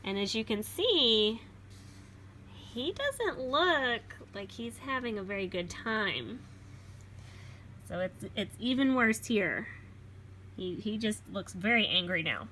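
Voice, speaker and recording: A woman reading a children's picture book aloud expressively, pausing to explain it simply to young listeners. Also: on the high side, animated, pushed and bright, slight background noise.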